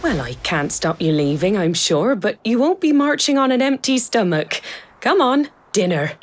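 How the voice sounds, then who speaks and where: A woman's voice: mid-range voice, sing-song, normal speed. An actress playing a fond, plain-spoken middle-aged village mother in a video game, with a homely English accent and a wry motherly manner. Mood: fond, resigned.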